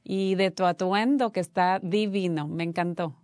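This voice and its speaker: A woman hosts an interview and asks questions with open enthusiasm, often restarting her phrases as she goes. Her voice sits on the low side for a woman, and the sound is dry and close. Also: steady tone, normal speed, dry recording. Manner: warmly enthusiastic, hesitant restarts. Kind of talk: casual interview.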